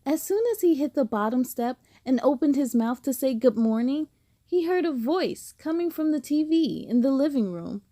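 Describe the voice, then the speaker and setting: A woman reading a children's book aloud with expressive storytelling and dramatic emphasis. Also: high-pitched, moderate pace, dry recording, studio quality. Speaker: storybook reader.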